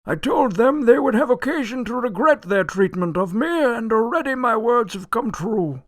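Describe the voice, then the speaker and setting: An actor in a radio drama playing a cantankerous, litigious old gentleman, pompous and indignant, declaiming in a creaky voice. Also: extremely high pitch, lively intonation, moderate pace, dry recording. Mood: boastful, triumphant. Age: older.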